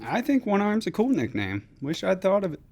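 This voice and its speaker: An actor playing a steady, affectionate commander in a sci-fi audio drama. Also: male voice, high voice, brisk, heavily acted. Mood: uncertain.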